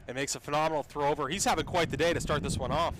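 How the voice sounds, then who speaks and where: A man with a slightly high voice breaks down baseball plays as a color commentator. He talks very quickly, analyzing the game live. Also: background noise.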